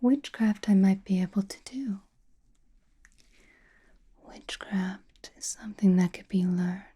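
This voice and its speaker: An enigmatic sorceress of chaos magic, acted out by a woman for an ASMR roleplay, whispers in a very dark, soft tone. Her delivery is slow and deliberate, breathy and cryptic, as if confiding in one listener. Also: medium pitch. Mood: cautioning.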